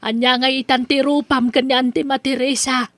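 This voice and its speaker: A woman's voice: high-pitched, little pitch movement, normal speed, a bit bright, dry recording. A woman voicing a mother nagging and scolding her son in a radio drama.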